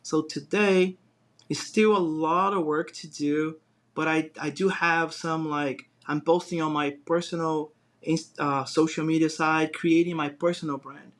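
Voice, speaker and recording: Man, brazilian portuguese accent, slightly high voice, rambling interview talk, lots of fillers. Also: fairly even intonation, a little slow. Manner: rambling, many fillers and restarts. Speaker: guest, design business owner. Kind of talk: casual interview chat.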